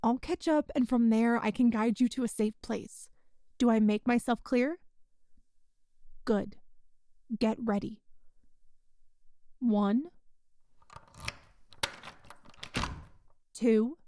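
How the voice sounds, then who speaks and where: A woman playing a kind, tough young rebel rescuer in an ASMR roleplay, with a folksy, hushed way of talking. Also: on the high side, steady tone, takes it slowly, long pauses, no room sound, studio quality. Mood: urgent, reassuring. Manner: folksy, reassuring, hushed, uses "ain't".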